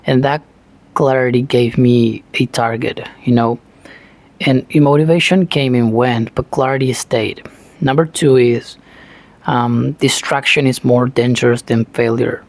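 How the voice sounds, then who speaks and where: Argentine guy w spanish accent giving a pep talk, pretty monotone, says you know a lot. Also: mid-range voice, measured pace. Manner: frequent "you know" fillers, earnest. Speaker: self-taught English learner, channel host. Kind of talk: motivational talk to camera.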